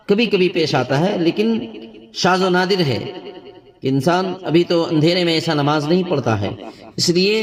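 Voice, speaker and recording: Man, Islamic teacher, slightly high-pitched voice, didactic religious instruction, formal wording, recorded away from the microphone. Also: recorded from a distance. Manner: didactic, formal Urdu with Arabic religious terms. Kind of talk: religious lesson explaining rulings on prayer.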